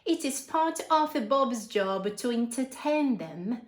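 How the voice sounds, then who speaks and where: A woman with a high, very lively voice reads a children's story at an unhurried pace. She is expressive and often turns to her young listeners with prompting questions, as a storyteller would. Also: reverberant. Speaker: storyteller reading a picture book to children. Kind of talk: animated children's read-aloud with asides and questions.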